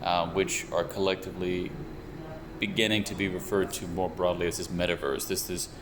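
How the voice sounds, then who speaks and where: A young man working as a VR researcher and developer, explaining his views in a measured, thoughtful way with frequent restarts. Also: deep voice. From United States. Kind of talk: explanatory interview talk. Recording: steady air conditioner hum behind. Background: air conditioning hum.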